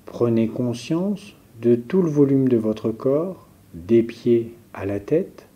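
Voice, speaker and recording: A man leading a guided yoga nidra relaxation as a teacher, giving calm, measured instructions with pauses. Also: mid-range voice, muffled recording.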